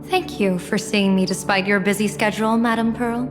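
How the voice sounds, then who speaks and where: A woman's voice: medium pitch, steady tone, moderate pace, natural voice. A woman playing a playful, self-assured general and fortune-teller in a video game, speaking in a ceremonious, flowery manner. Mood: grand, ceremonious. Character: grandiose, playful female general and fortune-telling strategist. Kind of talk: scripted game voice acting. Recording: long reverb, background music.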